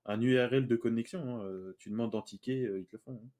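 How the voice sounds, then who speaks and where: A man's voice: medium pitch, fast, darkish tone. A man chatting casually on a voice chat, blunt and argumentative. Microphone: voice chat over computer mic.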